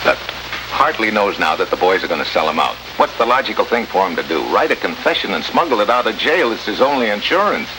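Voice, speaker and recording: Tough american radio detective type, lowish voice, wisecracks, slangy, noisy old 50s recording. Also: male voice, big pitch swings, fast. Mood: pressing. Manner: clipped, slangy, sardonic. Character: tough, wisecracking American male secret agent, hard-boiled and confident. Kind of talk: 1950s radio drama acting, hard-boiled narration.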